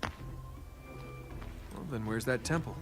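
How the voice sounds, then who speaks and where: An actor playing a young, roguish, wisecracking adventurer in a video game, with sarcastic, flippant banter. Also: male voice, medium pitch, wildly swooping pitch, drawn-out words, gravelly, over music, exaggerated voice. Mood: irritated, determined.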